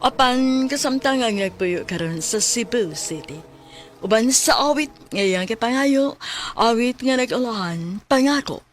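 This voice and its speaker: A woman with a Cebuano accent presenting a radio drama with slow, drawn-out scripted announcing. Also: fairly high pitch, animated, soft background music. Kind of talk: scripted programme narration.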